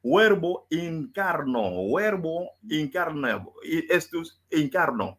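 A man's voice: a little high, very animated, slow pace, a bit bright. A man debating in French, which is not his first language, stammering and repeating words as he lectures. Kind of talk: heated live debate.

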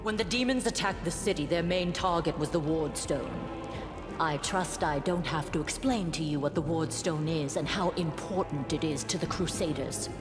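A woman's voice: deep voice, normal speed, lightly acted. A woman playing a stern, resolute military commander in a fantasy game, with a formal, grave and commanding manner. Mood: grave, urgent. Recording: echoey reverberant hall, game music behind.

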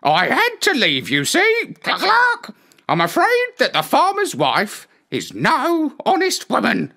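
Guy doing a fussy lady hen for a kids story, super high n clucky, swoopy, music behind. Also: huge pitch swings, slow, vocal fry, sharp tone, heavily acted. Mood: indignant, chatty. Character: prim, wary, chatty female hen.

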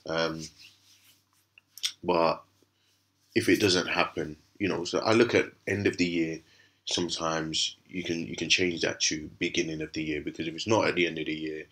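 A man with a raspy, hoarse voice from a cough, hosting a casual crypto commentary over a screen recording, rambling with many fillers and restarts. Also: a bass voice, flat delivery, moderate pace, a bit bright. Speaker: crypto channel host.

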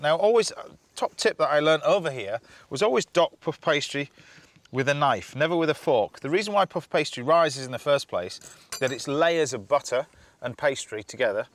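A man, a TV chef chatting through a cooking demonstration outdoors, instructive and quick to laugh. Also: mid-range voice, fairly bright tone. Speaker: TV chef host. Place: outdoors at a campsite.

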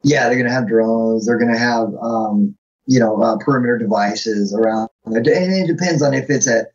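A man's voice: medium pitch, normal speed, darkish tone, husky, dull audio. An American man talking casually as a podcast guest, explaining things in an informal, practical way and often checking in with the listener. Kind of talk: casual interview talk.

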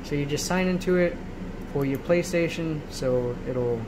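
Man rambling casually over clear background noise. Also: fairly high pitch, moderate pace. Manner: rambling, checks in with frequent "right?". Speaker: tutorial host. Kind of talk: casual software tutorial talk. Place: indoors in a room at home. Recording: noisy amateur home recording. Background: clear steady background noise.

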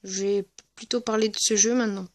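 Casual gameplay commentator with a mid-to-high voice, hesitant and rambling delivery, very dry recording. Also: steady tone, brisk, dry recording. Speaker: gaming video creator. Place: at home, voice-over on mobile gameplay recording.

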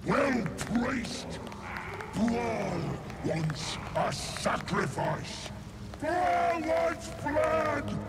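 An actor playing a bloodthirsty, taunting redcap fey who poses as a god of murder in a fantasy video game. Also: male voice, very high-pitched, lively intonation, very slow, heavy background noise, full character voice. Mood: bloodthirsty demanding. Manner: menacing, theatrical, taunting. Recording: loud dramatic game music behind.

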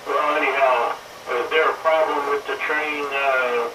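A man talks casually over the radio with a laid-back, slangy, joking delivery. His voice sits at a medium pitch, heard from a distance in an echoey space with loud sound behind him. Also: fairly even intonation, measured pace, very noisy. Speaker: amateur radio operator.

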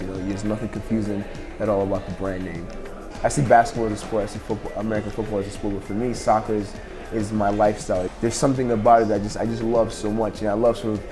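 A young man in his twenties from Nigeria, speaking casually in an interview with lots of fillers and restarts. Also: fairly low pitch. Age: 20s. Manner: quick, rambling, many fillers. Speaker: interviewee, entrepreneur. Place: indoors at a bar.

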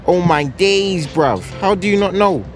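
High-pitched jeering London schoolboy, acted by a man. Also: expressive, measured pace, soft background music. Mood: mocking, amused. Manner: jeering, slangy. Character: mocking, rowdy teenage London schoolboy.

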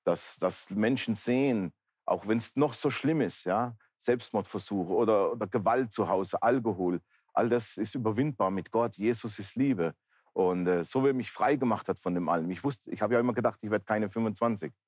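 Deep-voiced man in his fifties. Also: normal speed, a little mellow, slightly rough, no room sound, studio quality. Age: 50s. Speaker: talk show guest, pastor. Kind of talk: personal testimony in an interview. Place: large indoor bar hall, seated interview.

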